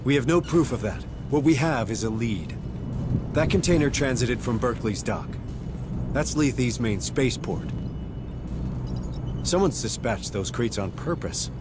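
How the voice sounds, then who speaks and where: An actor playing a stubborn, protective Marine sergeant in a video game, terse and commanding. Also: male voice, mid-range voice, animated, unhurried, pauses often, loud music behind the voice, lightly acted. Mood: urgent, insistent. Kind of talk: video game cutscene acting.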